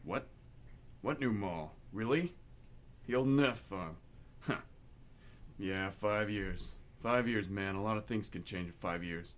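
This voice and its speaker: Man acting a low-voiced, guarded war veteran. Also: slow pace, long pauses. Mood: casual, guarded. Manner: evasive, casual, clipped answers. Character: guarded, laid-back older brother, Vietnam veteran back from overseas. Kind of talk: stage-style radio play acting.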